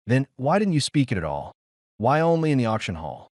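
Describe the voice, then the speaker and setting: A man voicing a gentle, thoughtful scholar and pilot in a sci-fi audiobook, earnest and careful. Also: medium pitch, very animated, fairly quick, no room sound, studio quality. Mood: earnest, curious. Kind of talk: sci-fi audiobook character acting.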